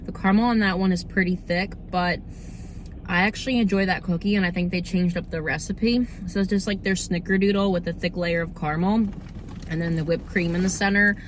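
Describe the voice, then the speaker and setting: A young woman with a slightly hoarse, under-the-weather voice, chatting casually and informally as she hosts a food review vlog. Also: lowish voice, steady tone, a little fast, a little hiss or hum. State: slightly hoarse, getting over a cold. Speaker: host of a cookie review video series.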